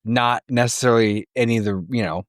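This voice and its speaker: A man co-hosting a comedy podcast, chatting casually in an animated, profane, argumentative way. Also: mid-range voice, a little fast, no room sound, clean studio sound. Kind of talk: casual group banter.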